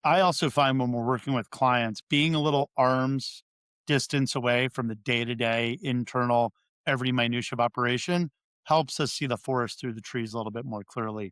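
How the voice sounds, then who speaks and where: A man's voice: medium pitch, steady tone, brisk, a bit bright, smooth, dry recording. A man hosting a podcast, chatting in a conversational, joking way. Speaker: podcast host. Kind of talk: podcast interview.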